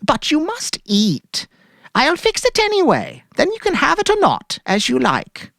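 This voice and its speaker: A woman's voice: high voice, huge pitch swings, unhurried, vocal fry, fairly bright tone, dry recording, studio quality, exaggerated voice. A woman playing a devoted, anxious housewife who coaxes solicitously in a dramatic story reading. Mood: anxious, pleading. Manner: coaxing, solicitous.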